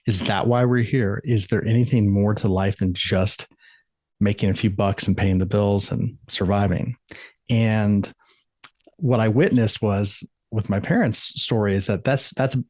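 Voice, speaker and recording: A man's voice: lowish voice, a little slow, darkish tone, dry recording. A middle-aged man from the United States, an author and life coach, answering interview questions in a thoughtful, earnest way with frequent restarts.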